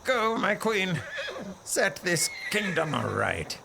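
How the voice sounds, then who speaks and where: Pleading defender, gravelly, strained, swinging pitch, audio drama, loud background noise. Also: male voice, big pitch swings, takes it slowly, full character voice. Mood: strained urgency. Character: loyal, struggling defender urging his queen onward. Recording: heavy noise behind the voice.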